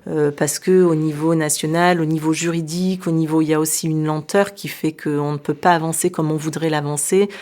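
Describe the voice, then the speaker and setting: A woman's voice: sits low, steady tone, fairly quick, few pauses, darkish tone. A middle-aged woman born in France, with Arabic as her first language, speaking as a local elected official in a radio interview, hesitant with many fillers and restarts. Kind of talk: radio interview, recounting events.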